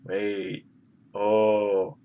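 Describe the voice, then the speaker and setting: A man giving casual live reaction commentary as a game streamer, with drawn-out interjections. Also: lowish voice, completely flat delivery, warm tone, a little hiss or hum.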